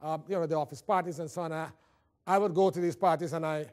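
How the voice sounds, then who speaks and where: Man, Caribbean accent, high voice, motivational speaking, conversational anecdotes, theatrical delivery. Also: fairly even intonation, quick pace, darkish tone. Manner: theatrical storytelling, acting out dialogue. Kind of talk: animated motivational talk with humorous personal anecdotes.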